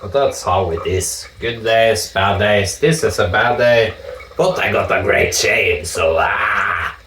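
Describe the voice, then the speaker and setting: Slow-talking Norwegian man in echoey bathroom. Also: fairly low pitch, fairly bright tone, a little hiss or hum. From Norway. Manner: joking, profane, chatty asides. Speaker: vlogger shaving with a straight razor. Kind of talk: casual talk while shaving. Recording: echoey room with dripping water.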